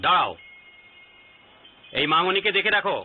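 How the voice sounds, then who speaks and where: A man acting a stern, suspicious middle-aged father in a TV drama, husky and commanding. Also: high-pitched, normal speed. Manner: commanding, scolding. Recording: thin phone-like sound, faint music.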